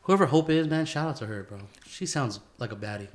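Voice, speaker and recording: A man's voice: medium pitch, expressive, a little fast, a little hiss or hum. A man hosting a casual podcast chat in a garage, speaking informally with slang and swearing. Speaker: podcast host.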